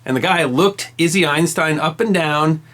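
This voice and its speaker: A man's voice: a little high, normal speed, a bit bright. A man narrating a history story from a script, with wry, emphatic delivery and dry asides. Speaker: history channel host.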